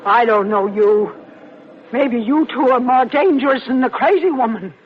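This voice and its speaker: A woman's voice: on the high side, normal speed, lightly acted. An actress playing a menacing, agitated older woman stranger who pleads and demands in an old-time radio thriller. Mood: suspicious, scornful. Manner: agitated, demanding, swinging between pleading and snapping. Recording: noisy, muffled old radio drama recording.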